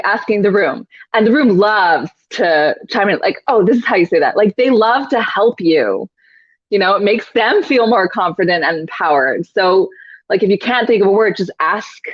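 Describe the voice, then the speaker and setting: A woman's voice: medium pitch, dry recording. A woman who teaches yoga, chatting casually in an interview, laughing often and saying "like" a lot. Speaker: yoga teacher, podcast guest. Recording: dull, dry lo-fi sound.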